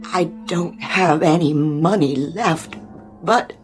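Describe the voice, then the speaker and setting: An actress playing a gentle, anxious, weary married woman in a radio drama, polite and hesitant. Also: sits low, slow pace, faint music behind. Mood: worried. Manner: polite, hesitant, soft-hearted.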